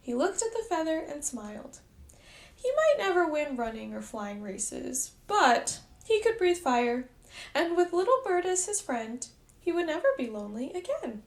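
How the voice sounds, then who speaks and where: A woman preschool teacher doing an expressive storytime read-aloud, speaking directly to young children. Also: sits high, measured pace. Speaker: preschool teacher reading a picture book.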